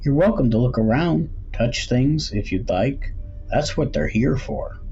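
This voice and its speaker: A man's voice: mid-range voice, lively intonation, warm tone, pitched above the performer's own voice. Man playing an eerily pleasant, swooping middle-aged shopkeeper. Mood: welcoming, eerie. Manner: welcoming, measured, cryptic. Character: mysterious, unsettlingly pleasant middle-aged male antique shopkeeper. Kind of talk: storybook character voice in suspense tale. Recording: dull recording with faint background noise.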